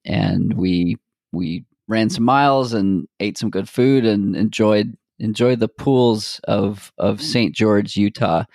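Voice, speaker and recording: A man hosting a podcast interview in casual chat, relaxed and halting, with many fillers and restarts. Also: fairly low pitch, vocal fry, dry recording, studio quality. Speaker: podcast host.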